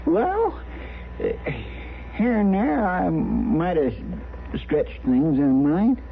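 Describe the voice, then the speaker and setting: An actor playing a meek, pleading deputy in a 1950s radio western, stammering in a folksy rural American drawl. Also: male voice, sits high, huge pitch swings, extremely slow, gravelly, exaggerated voice. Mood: sheepish, pleading. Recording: tinny, noisy old radio recording.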